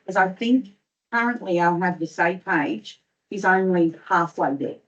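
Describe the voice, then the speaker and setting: A woman councillor arguing her point in a council meeting, her voice going hoarse. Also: fairly low pitch, measured pace. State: losing her voice. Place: council chamber. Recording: dull lo-fi meeting audio.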